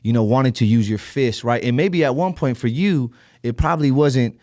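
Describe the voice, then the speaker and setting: Young male podcast host, from California, casual and stammering, mid pitch, dry close mic. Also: normal speed, warm tone, dry recording. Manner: stammering, repeats words, lots of "you know what I mean". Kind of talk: casual interview chat. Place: indoor podcast studio.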